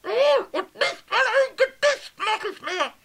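Cheeky little kobold, very high scratchy squeal, swooping and defiant, for a children's radio play. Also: male voice, very animated, slow pace, gravelly, fairly bright tone, heavily acted. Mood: sulky.

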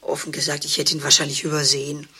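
A woman's voice: sits low, monotone, quick pace, bright, forceful tone, breathy edge. A woman acting in a German radio play, with a wry, ironic way of narrating and speaking.

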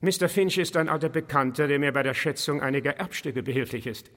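An actor playing a haughty English lord in a radio play, formally polite and condescending. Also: male voice, on the high side, fast, a bit bright, lightly acted. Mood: affronted, dismissive. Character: haughty, indignant English lord, stiffly courteous. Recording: long reverberant hall echo.

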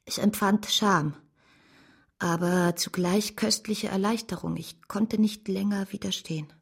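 An actress playing a principled, grieving woman who tells her story with measured restraint in a German radio drama, in a breathy voice. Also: medium pitch, steady tone. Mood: subdued narration. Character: Caroline, a grieving, principled woman narrating bitterly, devoted to her brother.

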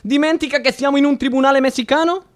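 An actor playing a nervous, wavering judge in a radio play, hesitant and prone to tagging phrases with "eh?". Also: male voice, very high-pitched, steady tone, fast. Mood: agitated. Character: nervous, wavering male judge in Mexico, anxiously pragmatic.

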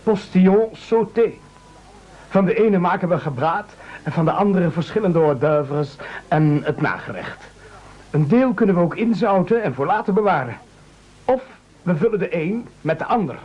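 A man's voice: sits high, expressive, normal speed, dull audio. A male actor in a radio play playing an eager gourmet henchman who fussily relishes a cannibal menu. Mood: gleeful relish.

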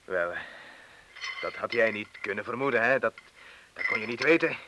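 An actor in a radio drama playing an apologetic, probing workmate who questions hesitantly. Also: male voice, lowish voice, lively intonation, normal speed, sharp tone, slight background noise, low-quality recording. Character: bomb disposal man's probing, apologetic male colleague.

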